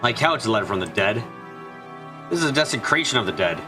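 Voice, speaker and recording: A man reading a visual novel aloud as an expressive fan dub, narrating and voicing dialogue with the odd stumble. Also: medium pitch, quick pace, over music.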